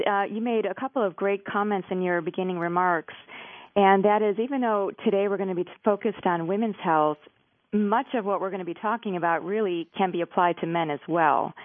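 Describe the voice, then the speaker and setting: A woman, an expert guest, explaining fluently and warmly in an interview. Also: on the low side, no echo. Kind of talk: interview answers. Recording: tinny phone line.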